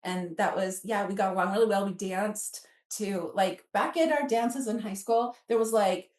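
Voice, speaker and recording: An American woman in her fifties chats through her memories in a casual, storytelling way, voicing bits of remembered conversation. Her medium-pitched voice sounds roomy and a little distant from the microphone. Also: moderate pace. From Alaska, United States. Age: 50s. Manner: animated, acts out remembered dialogue. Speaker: guest author. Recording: roomy echo, away from the mic.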